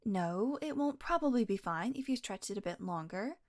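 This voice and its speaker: A woman's voice: mid-range voice, animated, moderate pace, natural voice. A woman voicing a playful, predatory female vampire who teases and mocks, sometimes dropping to a whisper. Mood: mocking. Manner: playful, mocking, drifts into whispers. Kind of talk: audio roleplay.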